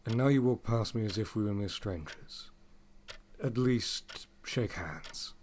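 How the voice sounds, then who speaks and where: An actor playing a brooding, commanding gentleman in a gothic audio drama, speaking formally and with intensity. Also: fairly low pitch, measured pace, a little hiss or hum, natural voice. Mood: wounded, intense.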